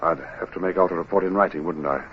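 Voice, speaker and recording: An actor playing an anxious, gloomy naval first lieutenant in an old radio drama, clipped and agitated in manner. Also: male voice, sits low, quick pace, lightly acted. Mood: uneasy. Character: anxious, gloomy male first lieutenant, wavering under responsibility. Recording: dull, noisy old radio recording.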